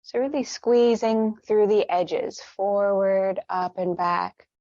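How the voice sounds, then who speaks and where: A woman leading a guided meditation with stretches, speaking in a gentle, soothing, unhurried manner. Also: medium pitch, no room sound, highs cut off.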